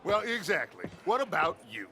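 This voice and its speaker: A man's voice: high voice, sing-song, moderate pace, sharp tone, a little hiss or hum, full character voice. A man playing an assured, commanding outlaw gang leader in a western video game. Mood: dismissive. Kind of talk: cinematic video game acting.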